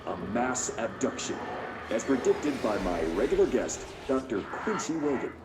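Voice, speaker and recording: An actor playing a polished, patronising host of a late-night conspiracy radio call-in show in a game. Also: male voice, mid-range voice, sing-song, moderate pace, roomy sound, background noise, natural voice. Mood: grave, intrigued.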